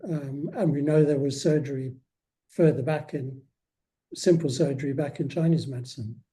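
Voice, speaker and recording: Male guest author, mellow timbre, mid pitch, conversational, halting and self-interrupting delivery. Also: poor audio. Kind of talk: casual interview chat.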